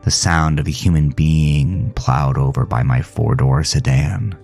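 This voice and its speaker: A man narrating a first-person horror audio drama in a somber, dramatic manner with deliberate phrasing. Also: very deep voice, a little mellow. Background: music.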